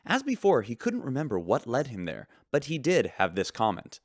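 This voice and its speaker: Friendly spaceship radio news host, lowish voice, super animated, dry studio sound. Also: male voice, normal speed, dry recording. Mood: earnest newscaster. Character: affable shipboard radio newsman reporting earnestly. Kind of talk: scripted radio news narration in sci-fi audio drama.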